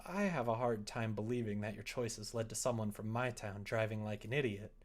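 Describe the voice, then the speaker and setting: A man's voice: on the low side, a little fast, natural voice. A man voicing an easygoing, aimless but kind young woman in a visual novel, slightly sheepish.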